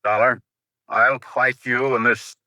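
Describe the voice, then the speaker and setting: A man's voice: medium pitch, little pitch movement, takes it slowly, bright, forceful tone, no room sound. An actor playing an emphatic, edgy American man in a 1950s radio detective drama. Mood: tense, defiant.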